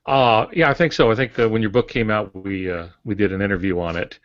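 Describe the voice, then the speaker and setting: A man who teaches writing, explaining things calmly on a podcast and pausing often on "uh". His voice is very low. It is recorded dry and dull, with faint clicking behind him. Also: dry recording. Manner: calm and explanatory, with many "uh"s. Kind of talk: casual podcast chat.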